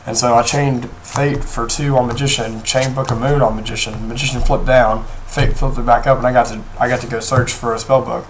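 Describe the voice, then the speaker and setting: A young man with a hoarse voice, talking casually through a card game deck, rambling with many pauses and restarts. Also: medium pitch, level tone, slight background noise, poor audio. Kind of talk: casual deck profile talk. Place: indoors at a table, off camera.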